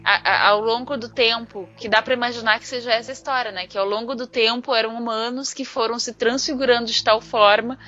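A Brazilian woman chatting informally as a podcast panelist, explaining ideas in an animated, slangy way. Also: on the high side, brisk, hardly any pauses. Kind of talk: casual discussion of ideas. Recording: dull lo-fi online audio, music underneath.

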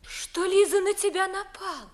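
Very high-voiced young noblewoman. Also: normal speed. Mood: wistful. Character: dreamy, lovestruck young noblewoman, the master's daughter. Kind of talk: 1950s Russian stage-style radio comedy in verse.

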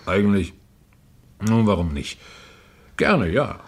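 A nosy, talkative neighbour in a radio crime play. His voice sits fairly low with a husky grain. The delivery swings widely, hesitant one moment and emphatic the next. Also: expressive, slow, full character voice. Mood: chatty. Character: chatty, nosy male neighbour and estate steward, town councillor. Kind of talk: radio crime drama acting.

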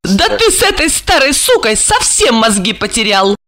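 A high, bright young woman's voice put on by a female narrator plays a spurned, hot-tempered mistress in an audiobook. She is shrill and theatrical, swinging widely between scorn and pleading. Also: very animated, moderate pace, full character voice. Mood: contemptuous, spiteful. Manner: shrill, mocking, theatrically emotional.

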